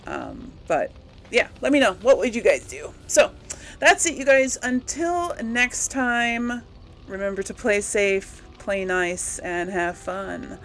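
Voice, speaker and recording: A woman's voice: medium pitch, expressive, slow, a bit bright, slight background noise. A woman hosting a gaming let's play, talking casually to her viewers in a rambling, chatty way. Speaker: host of a video game let's play channel.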